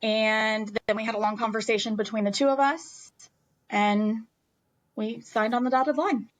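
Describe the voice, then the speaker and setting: American lady, highish voice, podcast guest telling her story, candid and emphatic. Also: fairly even intonation, normal speed, low-quality recording. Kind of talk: conversational personal storytelling.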